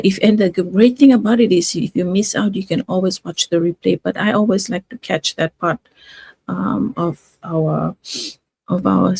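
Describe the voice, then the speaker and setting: A middle-aged woman from Fiji hosting a casual motivational livestream, talking in a halting, reflective way and drawing out words for emphasis. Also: on the low side, gravelly, a little mellow, dry recording. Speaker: livestream host, nurse and online business owner.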